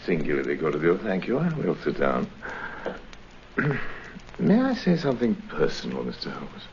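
Deep-voiced, courtly English criminal mastermind. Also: male voice, wildly swooping pitch, a little mellow, heavily acted. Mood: silky politeness. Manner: formal, unctuous, deliberate. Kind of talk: 1950s radio drama acting. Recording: muffled, faintly hissy 1950s radio recording.

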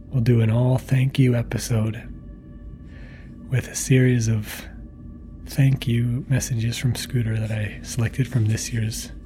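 A man introducing a bedtime podcast episode in a hushed, unhurried manner. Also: medium pitch.

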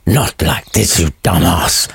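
A man voicing a blunt, weary old friar in a storybook retelling, scolding slowly and crudely. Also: low voice, creaky, fairly bright tone, breathy edge, dry recording, heavily acted.

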